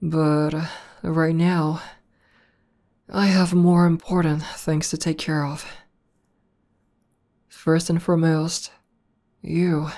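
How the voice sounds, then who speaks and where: Casting: woman voicing tomboy demon girlfriend, ASMR roleplay. Deep-set, relaxed tone, slow intimate delivery, fond and playful. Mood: tender, playful. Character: buff tomboy demoness girlfriend, affectionate and teasing. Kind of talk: ASMR roleplay audio spoken to the listener.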